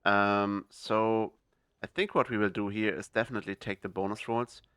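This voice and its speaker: A man's voice: on the low side, steady tone, normal speed, a bit bright, clear, resonant tone, no echo, studio quality. A young man hosting a gaming video, talking through his choices in a casual, hesitant way with lots of fillers and restarts. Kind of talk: casual gameplay commentary.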